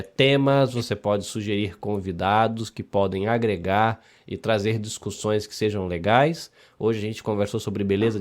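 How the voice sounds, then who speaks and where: A man's voice: fairly low pitch, a little mellow. A man with an interior São Paulo accent, hosting a live podcast in a chatty, upbeat way and repeating his phrases. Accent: interior São Paulo Brazilian Portuguese. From Brazil. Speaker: podcast host. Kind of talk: casual live podcast chat.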